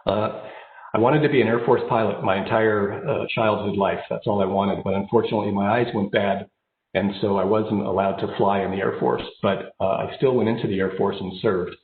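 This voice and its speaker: A man's voice: fairly low pitch, steady tone, fairly quick. An American man in his fifties with a slight head cold, giving an informal, personal keynote speech remotely. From born in Japan, raised overseas, then United States. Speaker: keynote speaker, engineering executive. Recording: phone-like narrow band, roomy echo.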